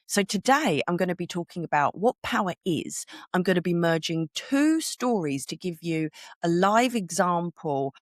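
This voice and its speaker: A middle-aged woman hosting a spiritual podcast, with a croaky voice, talking earnestly and conversationally and stopping for little laughs. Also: lowish voice, expressive, normal speed, no echo, studio quality. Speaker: podcast host. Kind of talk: solo spiritual podcast talk.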